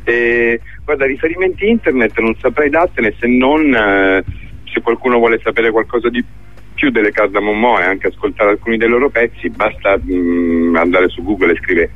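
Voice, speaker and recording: Over a thin, telephone-like connection comes a man with a low-pitched voice. He talks conversationally as a theatre director interviewed on radio, often hesitating as he searches for the right words. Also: moderate pace, no room sound. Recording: thin phone-like radio line.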